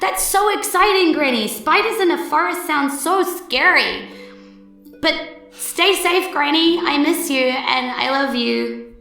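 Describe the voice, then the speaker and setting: Sweet little girl writing to granny, high and eager, brightly lifted as a woman reads her part. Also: animated, unhurried, gravelly, echoey room, far from the mic, faint music behind, exaggerated voice. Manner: eager, breathless letter reading.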